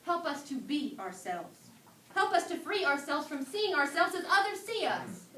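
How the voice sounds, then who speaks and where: A woman's voice: high voice, expressive, moderate pace, sharp tone. Echoey, distant woman preaching dramatically. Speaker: student performer reading a dramatic sermon. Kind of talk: dramatic staged reading, preaching. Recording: echoey distant hall, faint background noise.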